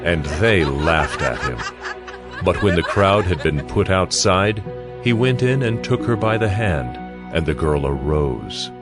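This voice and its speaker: Deep, expressive male Bible narrator. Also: a little slow. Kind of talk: scripted dramatized Bible narration. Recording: music behind the voice. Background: background music.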